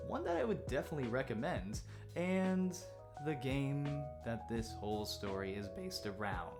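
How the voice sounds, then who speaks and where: Casting: man, lively commentary host with big pitch swings, casual joking storytelling, clear music bed behind. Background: background music with guitar.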